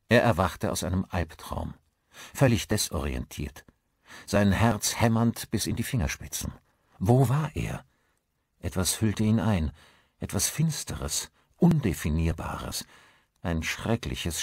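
A male narrator with a very deep voice reads scripted horror fiction as an audiobook. The sound is dry, with hardly any room to it. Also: slightly rough, dry recording.

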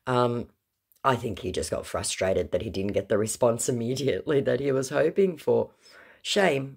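A woman's voice: very deep voice, creaky, slightly rough. A woman hosting a scambaiting channel, reading chat messages aloud in a sarcastic, mocking voice-over.

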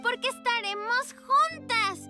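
Tiny cartoon girl puppy, extremely high voice, unhurried, chirpy, sweet, music underneath. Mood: reassuring. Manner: eager, childlike, chirpy. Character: sweet excitable little girl pug puppy. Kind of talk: children's cartoon dub. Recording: music behind the voice.

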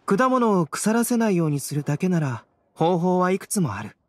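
Composed, light-voiced young alchemist. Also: male voice, high-pitched, sing-song, a little mellow, no echo. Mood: calm, amused. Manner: composed, measured, wry. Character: calm, softly teasing young male alchemist scholar. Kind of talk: anime game voice acting. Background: game music.

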